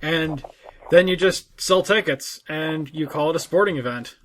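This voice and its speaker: Male podcast co-host, a little high in pitch, rambling, halting, sweary casual talker. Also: a bit bright, slight background noise, rough recording. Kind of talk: casual chat.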